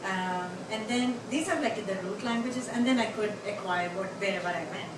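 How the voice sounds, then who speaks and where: A woman's voice: medium pitch, moderate pace. A middle-aged woman with an Indian accent, telling a personal story on stage in a rambling, chatty way with many fillers. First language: Tamil. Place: bar venue. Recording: echoey venue, mic from a distance, noisy.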